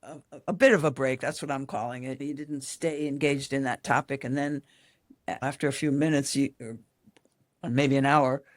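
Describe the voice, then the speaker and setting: A woman in her eighties talking with good humor and quick laughs as a podcast guest, a relationship coach. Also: rumbling low, fairly even intonation, no echo, studio quality. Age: 80s. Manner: warm-humored, laughs easily. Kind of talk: casual podcast conversation.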